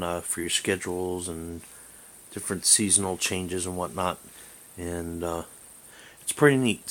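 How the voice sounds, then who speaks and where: A man's voice: low-pitched, fairly bright tone. A man giving a casual hands-on demonstration as a hobbyist, rambling and hesitant as he thinks aloud into a camera mic. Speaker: amateur reviewer and radio hobbyist. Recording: hissy amateur camera recording.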